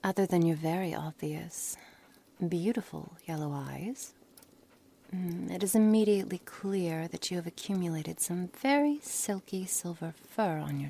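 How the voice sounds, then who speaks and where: A woman playing a cold, curious, menacing witch in an ASMR roleplay, speaking formally and condescendingly, often dropping into breathy near-whispers. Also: fairly low pitch, mellow. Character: cold, menacing, curious witch experimenting on her captive pet. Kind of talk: ASMR roleplay, scripted dramatic monologue. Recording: noisy background ambience.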